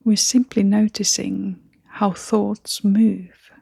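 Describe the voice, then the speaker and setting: Female meditation guide. Hushed, airy delivery at a very unhurried pace, gentle and calming, with generous pauses. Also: mid-range voice, dry recording, studio quality. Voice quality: soft, breathy, often near-whispering. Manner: gentle, soothing, with long pauses.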